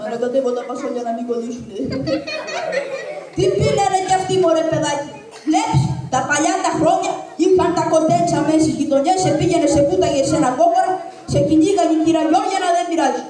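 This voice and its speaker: A woman's voice: very high-pitched, dark tone. A woman voicing a poor, hungry, cheeky trickster in a live shadow puppet show, joking and breaking into song. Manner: cheeky, joking, sings folk-style tunes. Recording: echoey hall from far off, with an audience.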